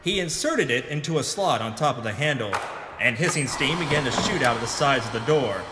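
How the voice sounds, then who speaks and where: A man's voice: mid-range voice, expressive, background noise, natural voice. Man playing a grave pulp hero, echoing voice. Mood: grave, suspenseful. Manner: melodramatic, grave, deliberate hard-boiled delivery. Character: pompous hard-boiled pulp adventurer hero narrating his own exploits. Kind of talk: pulp radio serial narration parody. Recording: reverberant voice over background music.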